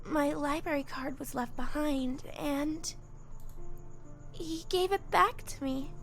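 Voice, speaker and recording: A man's voice: very high-pitched, slow pace, creaky, faint music behind, voice pushed up, heavily acted. A man voicing a shy, easily embarrassed teenage schoolgirl in a visual novel, timid and hesitant. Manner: timid, hesitant, soft-spoken. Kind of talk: visual novel character dialogue.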